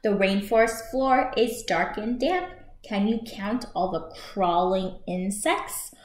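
A woman in her thirties reading a picture book aloud for young children as a storytime host, expressive and clearly paced. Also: mid-range voice, slow pace, a little hiss or hum. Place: small room at home, indoors by a shuttered window. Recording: echoey home room.

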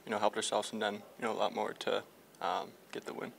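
Young man with a flat, halting delivery. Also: fairly low pitch, creaky, slight background noise. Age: young adult. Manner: hesitant, many fillers and restarts. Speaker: hockey player. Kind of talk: post-game media scrum. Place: team locker room. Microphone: several reporters' handheld mics held close.

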